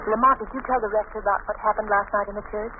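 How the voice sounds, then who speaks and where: A woman's voice: mid-range voice, fairly even intonation, racing, close to an everyday voice. An actress playing a poised, inquisitive young lady, a sleuth's companion, in vintage radio drama style. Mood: composed. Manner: polite, inquisitive. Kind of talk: 1940s radio acting. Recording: tinny, very noisy old radio recording.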